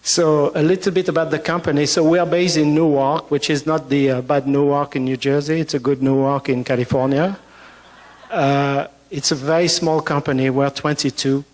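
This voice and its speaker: A man's voice: on the high side, steady tone, moderate pace. A man with a French accent giving a medical conference talk, hesitant with frequent fillers and restarts. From France. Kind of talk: conference presentation. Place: conference hall. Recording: long reverb of a hall.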